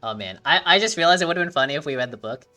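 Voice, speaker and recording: Low-voiced, animated young woman gamer. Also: very fast. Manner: animated, thinking aloud, reading game text aloud, swearing casually. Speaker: gaming streamer. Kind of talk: casual gameplay commentary.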